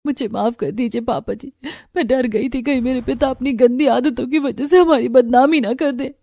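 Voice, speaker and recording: A woman's voice: high-pitched, normal speed, mellow, dry recording. A woman playing a meek, anxious young daughter-in-law in a story, pleading and apologetic in manner.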